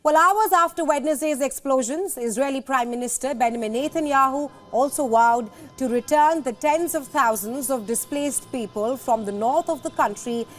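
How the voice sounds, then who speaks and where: A woman's voice: high-pitched, normal speed, hardly any pauses, faint music behind. A woman presenting the news, reading a scripted report.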